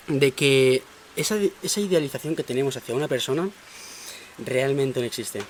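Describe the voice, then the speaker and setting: A man hosting a podcast, upbeat and quick to laugh in casual chat. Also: mid-range voice, slight background noise. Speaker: podcast host.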